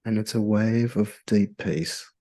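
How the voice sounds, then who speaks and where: A man leading a guided meditation in a soothing, hypnotic, measured way with long pauses. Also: lowish voice, steady tone, warm tone.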